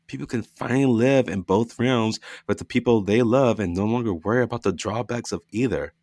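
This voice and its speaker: A man voicing a gentle, devoted ghost husband who talks in a coaxing, reassuring way. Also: medium pitch, brisk, no room sound, studio quality, pitched above the performer's own voice, close to an everyday voice.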